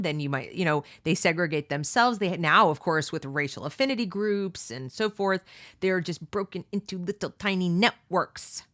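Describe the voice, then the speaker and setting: A middle-aged woman hosting a read-along book discussion, giving opinionated commentary and asking rhetorical questions. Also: a bit bright. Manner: mocks others with high exaggerated voices.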